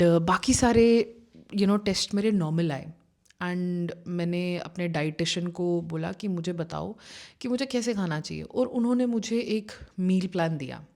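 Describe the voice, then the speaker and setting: A woman's voice: on the low side, a little mellow. Chatty woman coach telling her story. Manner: conversational, mixes Hindi with English phrases, many fillers like you know. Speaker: manifestation coach. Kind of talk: personal story told to camera.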